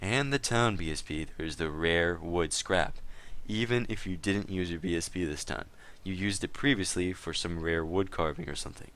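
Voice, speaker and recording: A stubborn town leader in an online game , read out by a man. The voice is very low and cleanly resonant. He lectures in a matter-of-fact way, with dry humor. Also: normal speed, fairly bright tone, smooth. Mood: firm, lecturing. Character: stern male town leader in an online-game dispute, stubborn, dryly joking. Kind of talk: dramatic reading of a gaming chat log.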